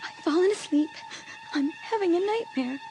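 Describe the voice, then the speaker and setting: An actress playing an excitable, frightened teenage girl with an urgent, emotional delivery in a dramatised audiobook. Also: moderate pace, background noise. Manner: breathless, urgent, highly emotional.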